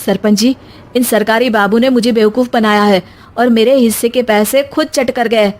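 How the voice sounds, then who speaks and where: A woman voicing a gentle young village husband, a farmer, speaking softly and placatingly in a cartoon story. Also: on the high side, steady tone, moderate pace, lightly acted. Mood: earnest. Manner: soft, placating. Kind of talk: animated Hindi story dialogue.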